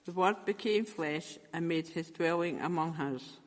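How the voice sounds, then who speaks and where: Man reading scripture in an echoey room. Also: fairly high pitch. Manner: measured, careful reading. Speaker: scripture reader.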